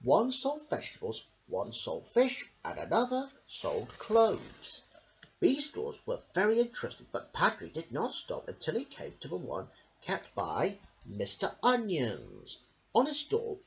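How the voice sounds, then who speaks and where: A man's voice: on the high side, lo-fi sound. Man reading a picture book, wildly sing-song. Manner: lively sing-song read-aloud with asides. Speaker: storyteller.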